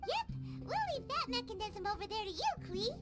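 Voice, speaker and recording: A woman voicing a perky, supportive tiny fairy companion with a squeaky delivery in an anime game. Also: sing-song, fairly quick, loud music behind the voice. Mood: encouraging. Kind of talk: scripted anime game dialogue.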